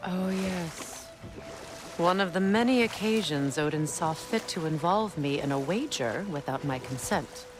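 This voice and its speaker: A woman playing a proud, wistful Norse goddess and weary former queen in a video game, speaking in a measured, solemn way. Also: lowish voice, animated. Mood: wry, measured. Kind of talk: dramatic video game acting.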